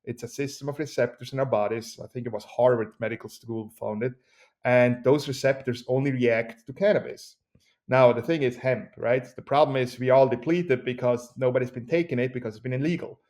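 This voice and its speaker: A man with a Swiss accent, a company CEO speaking as a guest in a podcast interview, with an energetic, joking pitchman delivery. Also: fairly quick. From Switzerland.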